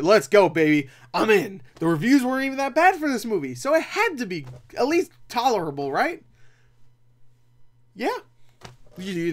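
Youngish guy doing a casual movie review, kinda high voice, rambly and opinionated. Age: young adult. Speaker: film reviewer. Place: small cluttered room at home.

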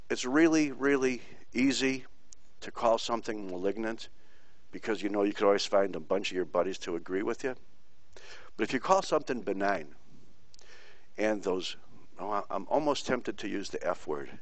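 Low-voiced man lecturing, dry sound. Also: dry recording. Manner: rambling, anecdotal, blunt. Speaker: pathology lecturer. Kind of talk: informal online lecture. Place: teaching from Puerto Rico.

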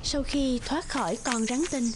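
A woman's voice: high voice, fairly even intonation, measured pace, heavy background noise. A woman reading scripted folktale narration as a storyteller. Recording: music bed under narration.

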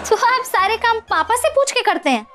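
A cheeky, teasing young bride-to-be. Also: female voice, very high voice, expressive, music in the background. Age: young adult. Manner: mocking, playful, quick retorts. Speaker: actress in a sitcom. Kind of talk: TV sitcom acting. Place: indoor studio set of a home.

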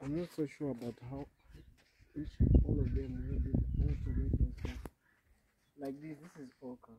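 A man's voice: medium pitch, fairly even intonation, moderate pace, highs cut off. Man explaining over outdoor noise. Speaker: farm guide, guest. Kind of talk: casual explanatory chat. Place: outdoors on a small farm. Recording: noisy outdoor farm recording. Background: birds, chickens, outdoor noise.

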